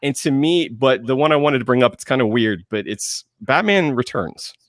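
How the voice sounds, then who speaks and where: A man's voice: medium pitch, animated, normal speed, no room sound, clean studio sound. A man talking casually as a panelist about pop culture, thinking aloud and often repeating his phrases. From lived in Georgia, US.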